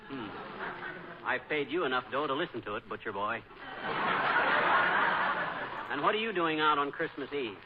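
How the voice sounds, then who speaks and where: A man playing a folksy, blustering, wisecracking but soft-hearted husband in a 1940s radio comedy. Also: mid-range voice, lively intonation, moderate pace, long pauses, lightly acted. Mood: teasing. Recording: muffled, noisy old radio recording.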